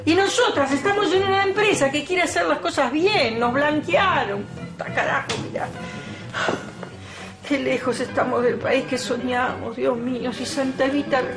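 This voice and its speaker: A blunt older Argentine woman in a TV melodrama. Her voice sits fairly high as she scolds and bosses protectively. Loud music plays underneath. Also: normal speed. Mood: indignant complaining. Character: brusque, indignant older working woman, bossy and protective. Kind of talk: telenovela acting. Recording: music playing under the dialogue.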